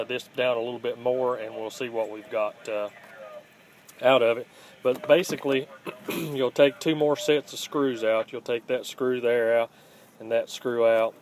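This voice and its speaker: A man giving a casual, unscripted hands-on how-to in a plainspoken, halting way, recorded on a handheld phone. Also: medium pitch. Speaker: host of a scrapping how-to channel. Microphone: handheld phone, filming one-handed. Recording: home phone video with faint background noise.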